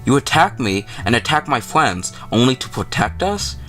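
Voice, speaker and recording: Defensive, indignant everyman hero of a visual novel, read by a male host, middle pitch, music underneath. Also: very animated, moderate pace, a bit bright. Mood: offended. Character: ordinary young human man, defensive and indignant. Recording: music playing behind the voice.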